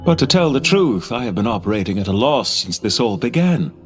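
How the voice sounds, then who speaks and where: A man's voice: medium pitch, big pitch swings, soft background music, natural voice. Man as a calculating banker, varied intonation. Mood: dry, exacting. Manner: formal, measured, precise. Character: cool calculating aristocratic male banker, exacting and cynical. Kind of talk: video game voice acting.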